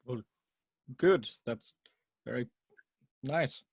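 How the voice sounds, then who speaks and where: Guy doing an annoyed reluctant space hero, mid voice, halting deadpan, sci-fi comedy read. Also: mid-range voice, gravelly, slightly rough, full character voice. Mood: awkward, irritated. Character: uneasy, irritable male actor, reluctant space hero. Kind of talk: amateur remote table read of a sci-fi comedy.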